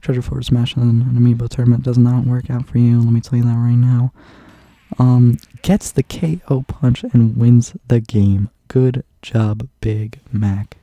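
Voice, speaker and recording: A man's voice: medium pitch, steady tone, a little slow, mellow, dry recording. A man with a stuffy, congested nose, casually commentating a game tournament, rambling with lots of ums and restarts.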